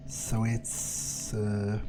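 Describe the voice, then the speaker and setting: A man's voice: lowish voice, monotone, very slow, music in the background. A man doing an in-character game playthrough as a jaded, cocky vampire, thinking aloud in a hesitant, muttering, sweary way. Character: jaded, cocky vampire outcast, musing to himself. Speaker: video game player speaking in character.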